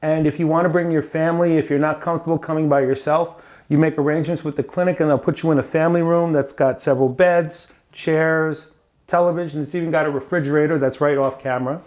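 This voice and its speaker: A man's voice: on the high side, steady tone, fast, darkish tone. Chatty American man in his forties. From United States. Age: 40s. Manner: chatty, friendly, digresses to the viewers. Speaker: patient interviewer. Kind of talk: casual interview.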